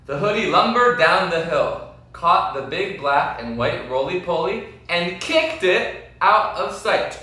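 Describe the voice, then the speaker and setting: A man reads a children's story aloud with slow, deliberate, dramatic phrasing. His bright voice rises and falls a great deal. The recording is very echoey, as if from across a room. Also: high voice, lively intonation. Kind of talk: expressive children's story reading. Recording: very echoey room, distant mic.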